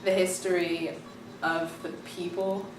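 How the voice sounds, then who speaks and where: Young female student, low-pitched, eager and chatty; roomy, distant mic with music under. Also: little pitch movement, measured pace. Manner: enthusiastic, chatty with many fillers. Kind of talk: interview, casual reflection. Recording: echoey room from far off, music behind.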